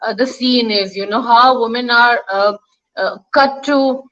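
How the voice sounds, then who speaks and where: High-voiced Indian-born woman on a dull call. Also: a bit bright. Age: 50s. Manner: measured, hesitant with fillers. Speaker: guest. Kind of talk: casual video call discussion. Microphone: video call. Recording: dull lo-fi video call audio.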